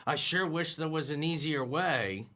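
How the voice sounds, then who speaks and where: A man's voice: fairly high pitch, a little slow, background noise, lightly acted. A young man playing a bashful, timid store clerk in a radio play, stammering and repeating his words. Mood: nervous. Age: young adult. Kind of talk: 1940s radio acting.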